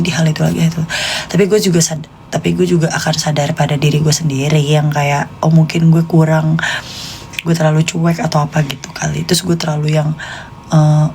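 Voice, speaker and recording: A woman's voice: deep voice, dark tone, a little hiss or hum. A woman in her late twenties with a Jakartan Indonesian accent, chatting casually and confidingly to live stream viewers in informal slang. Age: late 20s.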